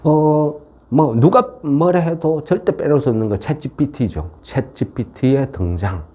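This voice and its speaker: A man's voice: medium pitch, measured pace, dark tone, dull audio. A man with a regional, non-Seoul South Korean dialect giving an informal explanatory market lecture in a folksy way, often tagging on rhetorical questions. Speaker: stock market commentator.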